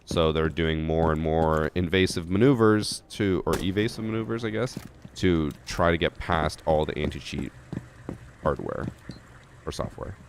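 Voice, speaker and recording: A man's voice: rumbling low, moderate pace, slight background noise. A young man streaming a shooter game, chatting casually with his chat and thinking aloud with fillers, a little stuffy from a head cold.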